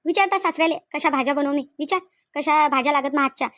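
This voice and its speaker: A woman's voice: high voice, fast, fairly bright tone, no echo, clean studio sound. A woman voicing a domineering, miserly rural mother-in-law in a cartoon comedy, nagging and sarcastic in a Varhadi Marathi accent. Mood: scolding. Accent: Varhadi Marathi from Vidarbha. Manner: nagging, sarcastic, full of rhetorical questions.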